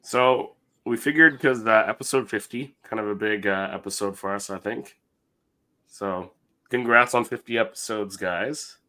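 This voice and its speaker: A man from Canada co-hosting a casual podcast chat, easygoing and chatty, a little hoarse from a lingering cough. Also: on the low side, moderate pace, sharp tone. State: losing his voice from a cough. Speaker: podcast co-host.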